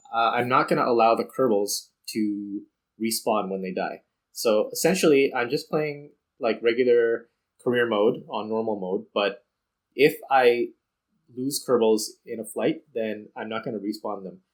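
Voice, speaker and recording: A man's voice: mid-range voice, poor audio. A man hosting a gaming video, giving casual unscripted commentary and explaining things directly to the viewers.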